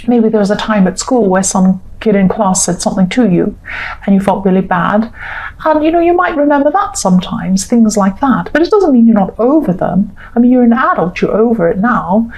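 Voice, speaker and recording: A woman giving a rambling, reassuring tarot reading with a slightly croaky voice. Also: on the high side, very animated, moderate pace, rough recording. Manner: gentle, reassuring, rambling with self-corrections. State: croaky, clearing throat. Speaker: tarot reader. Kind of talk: casual tarot reading monologue.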